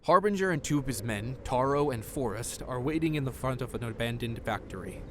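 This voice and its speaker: A man's voice: medium pitch, animated, fairly quick, noisy background. Narrator: man, mid-range voice, scripted audio drama storytelling, slight background ambience.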